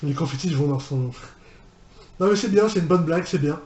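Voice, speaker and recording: A man's voice: a little high, brisk, a little mellow, dull audio, a little hiss or hum. A man giving casual, rambling reaction commentary as a video host, often repeating himself with mock exasperation. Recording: echoey room.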